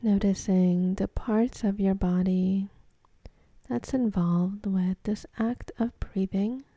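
A woman leading a guided meditation in a soft, calm, half-whispered voice with long pauses between phrases. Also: medium pitch, no room sound.

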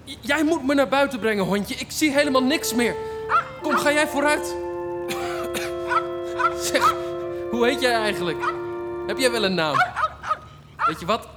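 A man's voice: very high voice, lively intonation, measured pace, pauses often, over loud music. A man playing a kind, earnest firefighter in a children's radio play, speaking hesitantly with small stammers. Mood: urgent, fond. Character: kind, earnest male firefighter, gentle with his dog.

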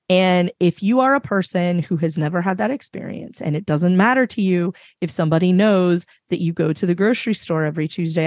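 A woman with a fairly low voice, speaking emphatically and passionately as a panelist in a casual discussion. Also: no echo, clean studio sound. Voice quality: reasonably low voice. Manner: emphatic, passionate, many fillers. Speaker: podcast panelist.

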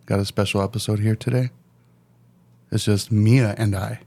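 A man hosting a podcast, chatting casually in an easygoing way with a slightly raspy voice, laughing often and restarting his sentences. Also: lowish voice, steady tone, normal speed, a little mellow. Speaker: podcast host. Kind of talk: casual chat.

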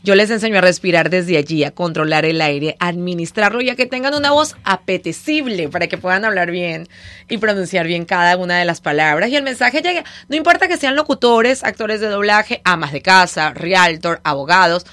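A woman with a Venezuelan accent, a diction and voice teacher, speaking energetically and clearly as a guest on a radio show. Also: fairly low pitch, brisk, no room sound. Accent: Venezuelan Spanish. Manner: energetic, articulate, promotional. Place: radio studio.

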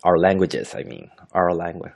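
A man's voice: deep voice, sing-song, moderate pace, vocal fry. A man in his thirties from Chile with a Chilean Spanish accent, a native Spanish speaker hosting an unscripted solo podcast in English, hesitant and full of fillers and self-corrections. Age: 30s. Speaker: podcast host.

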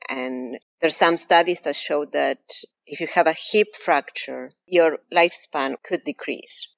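Low-voiced woman with an Argentine accent. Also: creaky, poor audio. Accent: Argentine Spanish. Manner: patient, methodical explaining. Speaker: anatomy professor, guest. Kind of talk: explanatory interview answers.

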